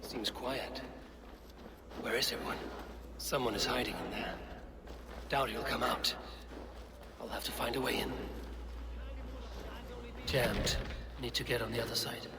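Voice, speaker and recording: A man acts a blunt Viking investigator in a game. He has a mid-pitched voice and speaks in terse, commanding phrases. Ambient noise is clear behind him. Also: fairly even intonation, measured pace, long pauses, close to an everyday voice. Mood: wary. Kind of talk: video game dramatic acting.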